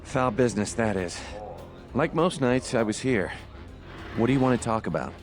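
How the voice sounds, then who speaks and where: A man's voice: mid-range voice, animated, normal speed, faint music behind, close to an everyday voice. A man playing an amiable, hard-drinking cantina card player in a video game, chatty and colourful. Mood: inviting. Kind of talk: video game character dialogue.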